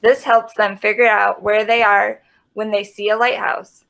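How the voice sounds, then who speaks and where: A woman's voice: mid-range voice, flat delivery, unhurried, gravelly, a bit bright. A woman reading a children's nonfiction book aloud.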